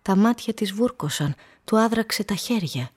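A woman narrating a radio play as a literary storyteller, measured and descriptive. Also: mid-range voice, lively intonation, darkish tone, slightly rough.

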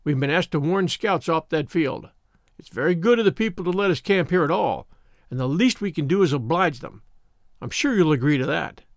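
A man's voice: fairly high pitch, lively intonation, fairly quick, no room sound, studio quality. Brusque exasperated schoolmaster played by a man. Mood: firm. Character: exasperated, strict schoolmaster in charge of scouts.

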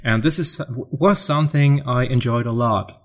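A man's voice: mid-range voice, normal speed. A middle-aged man from Germany with a German accent, a photographer chatting as an interview guest, often restarting and repeating words while searching for his English. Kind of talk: casual interview chat.

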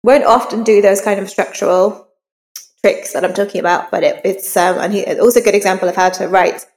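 A woman's voice: mid-range voice, fairly even intonation, a little fast, a little mellow, rough recording. A woman novelist being interviewed, explaining her craft in an informal, conversational way.

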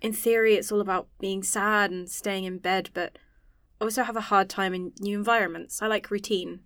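A woman's voice: mid-range voice, fairly even intonation, moderate pace, fairly bright tone, dry recording, studio quality, lightly acted. A woman acting in an audio drama as an earnest, anxious scientist who rambles self-consciously. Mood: confiding.

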